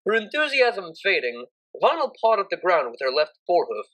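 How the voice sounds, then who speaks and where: A woman's voice: fairly low pitch, big pitch swings, moderate pace, fairly bright tone, poor audio. A woman narrating a scripted story expressively, as an audiobook reader.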